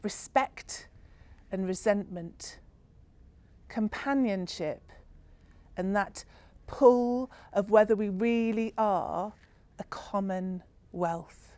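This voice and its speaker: A woman storyteller giving a solemn, reflective spoken-word narration in a slow, ceremonial manner. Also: mid-range voice, mellow.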